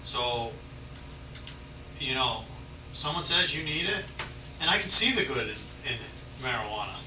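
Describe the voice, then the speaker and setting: A man's voice: mid-range voice, lively intonation, a little slow, sharp tone. A male police officer talking casually, explaining things in a conciliatory, conversational way. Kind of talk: casual roadside explanation to a detained person. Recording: noisy, roomy, distant mic.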